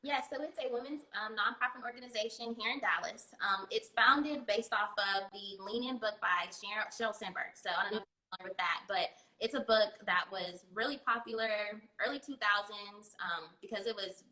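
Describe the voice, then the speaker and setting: A woman's voice: medium pitch, moderate pace, hardly any pauses, bright, forceful tone. A woman in her thirties, a guest on a casual video-call interview, chatty and laughing often, with many fillers. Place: home room. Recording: echoey room on a video call.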